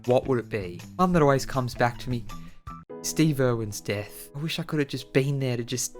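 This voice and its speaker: A man's voice: medium pitch, expressive, moderate pace. A man talking casually to his viewers as an online video creator answering their questions. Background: music.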